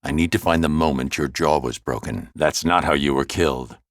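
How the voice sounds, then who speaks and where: A man voicing a grim, brooding male vigilante detective in a video game, speaking in a low, grave, clipped and methodical way. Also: moderate pace, no room sound, clean studio sound. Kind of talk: game voice acting. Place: recording studio. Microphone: close studio mic.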